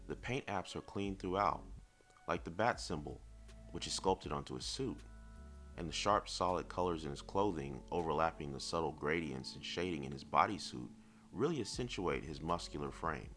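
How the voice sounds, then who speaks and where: A man reviewing collectibles in semi-scripted narration, speaking in a measured, articulate and lightly wry way over background music. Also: extremely low pitch, animated, a bit bright.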